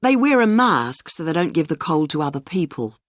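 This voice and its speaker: A woman giving a clearly spoken scripted monologue for a language-course listening exercise. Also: sits low, big pitch swings, brisk, no echo, clean studio sound. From New Zealand.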